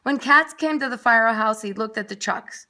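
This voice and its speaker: Bright-voiced woman reading a children's storybook. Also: fairly high pitch, normal speed. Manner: expressive, with dramatic emphasis and asides to young listeners. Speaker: nursery school story reader. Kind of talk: children's storybook read-aloud.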